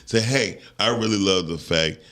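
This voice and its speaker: A man co-hosting a podcast, explaining marketing tips in a relaxed, slangy way. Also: deep voice, moderate pace. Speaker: podcast co-host. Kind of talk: casual chat.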